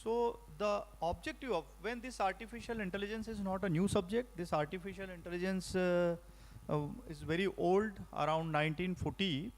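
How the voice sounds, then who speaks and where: A middle-aged man with an Indian English accent, lecturing teachers on a training course and pausing often with fillers and restarts. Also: sits high. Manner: halting, many fillers and restarts. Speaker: faculty trainer.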